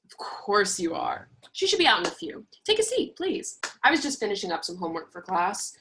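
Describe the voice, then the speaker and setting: A woman reading the part of a chatty, naive young college roommate in a play, expressive and opinionated. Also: medium pitch, a little fast, slight background noise. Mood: bubbly, nosy. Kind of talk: virtual play reading, naturalistic dialogue.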